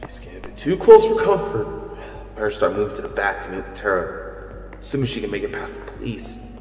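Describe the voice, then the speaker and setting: A man's voice: fairly low pitch, sing-song, fairly quick, darkish tone. A man playing a thrill-seeking secret superhero who narrates in first person in an audio drama. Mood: worried, hushed. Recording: echoey reverb under background music.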